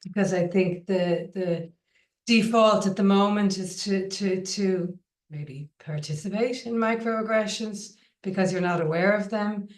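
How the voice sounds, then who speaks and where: A woman who supervises research, making an earnest comment in a webinar over a video call, with halting restarts. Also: medium pitch, roomy sound, distant mic. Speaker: academic supervisor.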